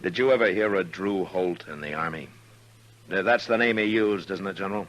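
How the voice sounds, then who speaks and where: An actor playing a stern, weary western marshal, terse and dry, in 1950s radio drama style. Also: male voice, sits low, fairly quick, fairly bright tone. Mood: firm, stern.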